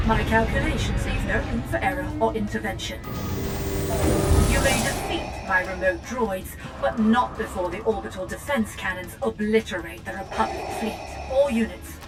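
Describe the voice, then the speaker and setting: An actress playing a cold, calculating villain who commands droids, speaking in a deliberate, superior, taunting way. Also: female voice, a little high, close to an everyday voice. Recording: loud game music behind the voice.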